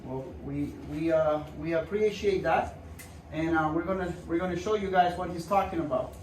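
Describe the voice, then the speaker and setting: A man's voice: fairly high pitch, moderate pace. A man working as a doctor, casually and reassuringly talking a patient and viewers through a procedure in an informal way. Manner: informal, reassuring, repeats himself while demonstrating. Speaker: doctor performing a hand procedure. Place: indoor clinic room. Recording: roomy, distant mic with background noise.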